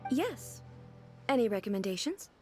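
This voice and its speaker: A divine female ruler from a video game, composed and formal, with a slightly high voice. She speaks earnestly and with innocent curiosity, in lively rises and falls over faint music. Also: normal speed. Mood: calm.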